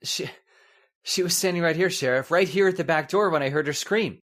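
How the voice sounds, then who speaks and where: A woman's voice: lowish voice, brisk, close to an everyday voice. A woman playing a worried, agitated husband in an old-time radio horror play, urgent and earnest with stammers. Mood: distraught.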